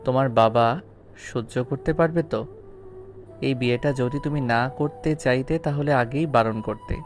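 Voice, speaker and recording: A man's voice: medium pitch, normal speed, faint music behind, close to an everyday voice. A man playing a blunt, reluctant schoolteacher groom in an audio drama, speaking in a firm, lecturing way. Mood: stern, scolding. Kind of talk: Bengali audio story acting.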